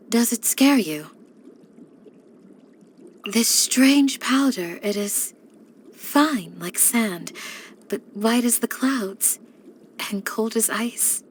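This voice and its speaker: A woman voicing a calm, devoted young village guardian in a video game, speaking in a measured, gentle and formal way. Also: mid-range voice, slight background noise. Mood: gentle, caring. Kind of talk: scripted game character voice lines.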